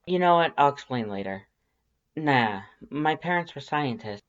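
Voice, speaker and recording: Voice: medium pitch, expressive, measured pace, close to an everyday voice. A reader voicing an awkward, sardonic homebody in a fan fiction story, hesitant and casual, on a slightly muffled recording. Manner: hesitant, casual, self-deprecating. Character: awkward, sardonic, sleepy homebody telling their own day.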